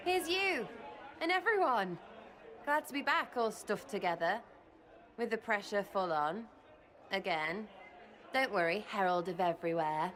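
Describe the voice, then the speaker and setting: A woman's voice: medium pitch, expressive, unhurried, long pauses, a bit bright, some chatter behind. An actress voicing a brash, irreverent young female elf rogue in a video game who talks in cheeky, blunt slang.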